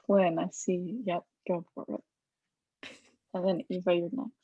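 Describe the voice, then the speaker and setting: Woman moderator, husky voice, casual hosting, dull lo-fi sound. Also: lowish voice, little pitch movement, takes it slowly, no room sound. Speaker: moderator of audience questions.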